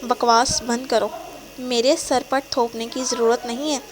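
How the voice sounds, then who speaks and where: Brief: cold, shouting boyfriend in an audio novel, a woman reading him, loud and harsh. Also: on the high side, fairly even intonation, slight background noise, lightly acted. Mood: angry. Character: angry callous young man boyfriend.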